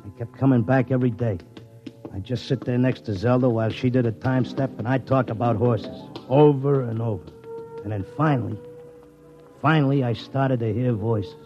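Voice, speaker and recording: An actor playing a brash, cynical horse-racing gambler in a classic radio drama, talking in streetwise, wisecracking slang. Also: male voice, mid-range voice, moderate pace, mellow, lightly acted. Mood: haunted storytelling. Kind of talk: classic radio drama acting and first-person narration. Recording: dull old radio recording with music.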